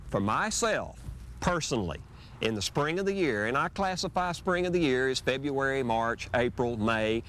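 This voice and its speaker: A man's voice: medium pitch, a bit bright, slight background noise. A middle-aged man, hosting a fishing show outdoors by a lake. He explains fishing techniques in an easygoing, folksy way. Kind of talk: instructional fishing demonstration. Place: outdoors on a lake, on a boat and on the shore.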